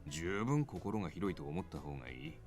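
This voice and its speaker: An actor playing a mild, reasonable gentleman with soft wording in a visual novel. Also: low voice, expressive, very fast, faint music behind. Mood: gently reproving. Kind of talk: Japanese visual novel voice acting.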